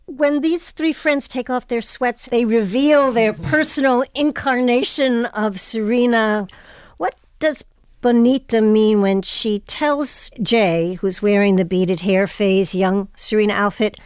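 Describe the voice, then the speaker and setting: A woman's voice: fairly high pitch, measured pace, smooth, dry recording. A woman hosting a radio program, speaking in a scripted presenter style. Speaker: host. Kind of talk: radio interview and scripted credits.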